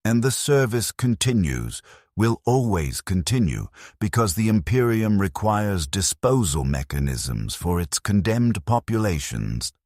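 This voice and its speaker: A man narrating a dark first-person sci-fi story as a bleak, resigned dead convict soldier, in a grave, measured, deliberate way. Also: lowish voice, big pitch swings, no room sound, clean studio sound. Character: bleak, resigned ghost of a condemned legionnaire.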